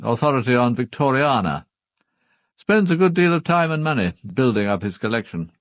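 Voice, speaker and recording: An actor playing a formal, upper-class British knight in a radio drama, speaking in a clipped, matter-of-fact way. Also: male voice, medium pitch, big pitch swings, normal speed, no echo, close to an everyday voice. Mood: brisk, businesslike. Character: formal upper-class husband, a titled knight hiring a detective.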